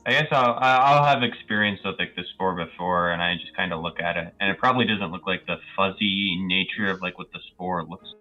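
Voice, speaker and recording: Very deep-voiced man, casual gamer. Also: a little fast, hardly any pauses, bright, forceful tone. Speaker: tabletop game player. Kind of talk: casual in-character play. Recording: slightly dull audio with music.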